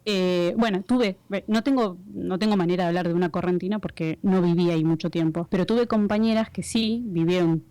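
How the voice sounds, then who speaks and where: Woman, Argentine accent, chatty explainer, smooth clear voice, dry close sound. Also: lowish voice, steady tone, a little fast, hardly any pauses, warm tone, no room sound. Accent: Argentine Spanish. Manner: chatty, explains with many examples and fillers. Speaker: radio announcer guest. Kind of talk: casual interview chat.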